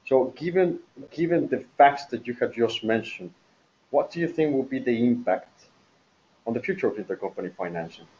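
A man's voice: medium pitch, lively intonation, moderate pace, darkish tone. A man moderating a business webinar in a formal presentation style. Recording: dull, muffled webinar audio.

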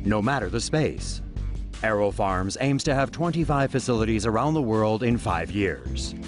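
A man reading a scripted news voice-over in a lively, wry manner. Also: medium pitch. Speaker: news narrator.

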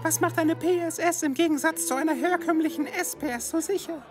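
A woman's voice: extremely high pitch, brisk, music in the background. A woman playing a flirtatious, playful glamour blonde in a comic audiobook, with a teasing manner. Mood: impressed.

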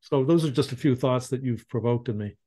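A man's voice: medium pitch, a little fast, warm tone. A man in his fifties speaking as a panelist on a video call from a home study, reflective and searching for words with frequent restarts. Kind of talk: thoughtful discussion on a video call. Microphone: video call webcam.

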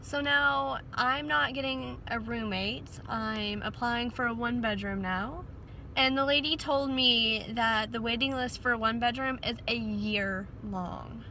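A woman's voice: fairly high pitch, a little slow, vocal fry, sharp tone. A young woman, talking casually to her phone camera in her car, rambling and bubbly with lots of "like" fillers. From Texas. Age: young adult. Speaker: vlogger. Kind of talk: casual chatty vlog. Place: parked and driving car. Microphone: phone camera held at arm's length. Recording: phone audio with car and street noise.

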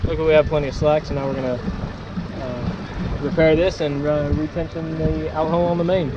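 A young man in casual narration from a small sailboat on a lake, talking through his sailing with many "uh" pauses. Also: fairly high pitch, fairly even intonation, highs cut off. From Texas. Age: young adult. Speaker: sailing vlogger. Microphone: action camera on the boat. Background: wind, water and loud music.

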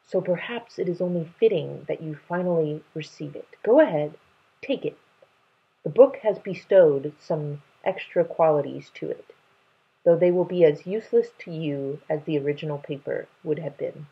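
A grim, mystical old man from a storybook, read by a woman. The delivery is solemn and deliberate in a husky voice, with slightly dull sound. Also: low voice, exaggerated voice. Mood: stern.

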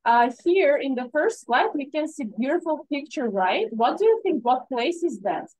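A woman, an English teacher giving a friendly, slow presentation to a class over a video call, with many fillers. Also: high-pitched, big pitch swings, darkish tone, poor audio. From Uzbekistan. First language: Russian. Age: 30s.

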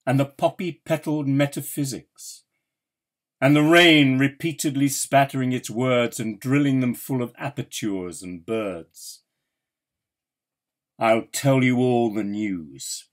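Slow, theatrical man reciting poetry. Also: mid-range voice. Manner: measured, theatrical delivery with emphatic pauses. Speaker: actor reading a poem.

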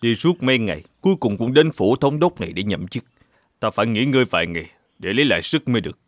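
A man voicing an upright, determined governor in an animated folk tale, speaking formally and with measured authority. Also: mid-range voice, dry recording, studio quality, lightly acted. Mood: grave, composed.

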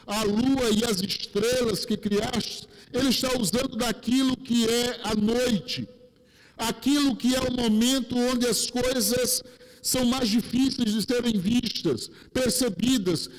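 A Brazilian man in his forties with a Rio accent preaching a sermon from a pulpit on a headset mic, slow and deliberate, dropping his pitch for emphasis. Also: steady tone, slight background noise. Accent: Rio de Janeiro Brazilian Portuguese. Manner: gestures broadly while preaching at a pulpit. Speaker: pastor. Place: large church sanctuary, indoors. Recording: long church hall reverb.

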